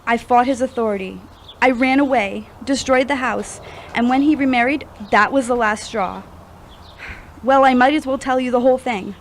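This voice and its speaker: A woman acting as a troubled young woman in an audio drama. Her voice sits fairly high and she speaks earnestly, as if confessing something painful. There is some noise behind her. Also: moderate pace, lightly acted. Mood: pained, confessing. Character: troubled young woman opening up about past grief.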